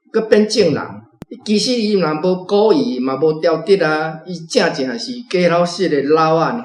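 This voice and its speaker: A man's voice: fairly high pitch, reverberant, slight background noise. A man from Taiwan, vice-president of a reading club, chatting about a story with lots of filler words. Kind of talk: sharing reading impressions.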